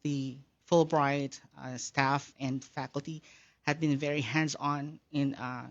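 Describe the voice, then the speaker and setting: A man from the Philippines, a high school English teacher, speaking as a radio guest with many pauses and fillers. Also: fairly even intonation, highs cut off.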